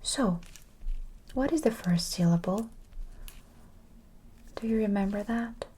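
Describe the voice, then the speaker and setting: A woman teaching a language in a breathy ASMR whisper, encouraging and repeating words syllable by syllable. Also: mid-range voice, takes it slowly, mellow, a little hiss or hum.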